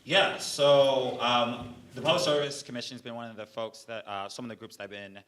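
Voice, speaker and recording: A man's voice: mid-range voice, steady tone, a little fast, fairly bright tone. A young man running for Congress, answering audience questions at a forum in a loose way, with many fillers and restarts. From Georgia. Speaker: congressional candidate.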